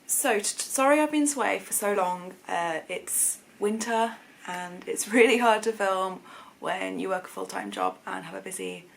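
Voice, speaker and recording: A British woman with a slightly croaky voice, hosting a chatty sewing vlog in an informal, rambling way, often correcting herself. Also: mid-range voice, normal speed, low-quality recording. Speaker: sewing vlogger, channel host. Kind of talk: casual chatty vlog to camera.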